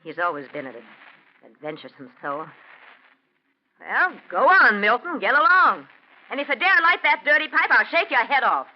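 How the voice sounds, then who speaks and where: A woman's voice: a little high, big pitch swings, moderate pace. A woman acting a domineering, nagging wife who scolds and gives orders in a 1940s radio drama. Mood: brusque, bossy. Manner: sharp, commanding, scolding. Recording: dull, noisy 1940s radio recording.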